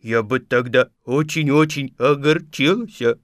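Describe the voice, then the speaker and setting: A man's voice: mid-range voice, unhurried, no echo, studio quality, lightly acted. A man playing a naive, greedy, good-natured toy bear in a children's audio tale, hesitant and childlike. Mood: plaintive.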